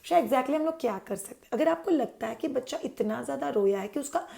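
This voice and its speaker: Friendly gravel-voiced woman explaining baby care. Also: sits high, animated, fast, warm tone. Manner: chatty, mixes English words into Hindi, answers her own questions. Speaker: parenting channel host. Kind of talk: explainer talk to parents.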